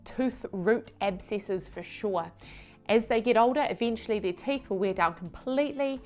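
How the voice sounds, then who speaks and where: A woman in her thirties, a veterinarian, explaining to camera in a chatty way while gesturing with her hands in a home studio. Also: medium pitch.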